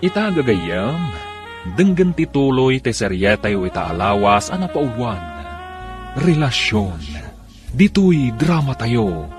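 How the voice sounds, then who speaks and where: A man announces a radio drama in a scripted, theatrical style. His mid-pitched voice rises and falls in lively sweeps, with prominent music behind. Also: slow. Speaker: announcer and narrator.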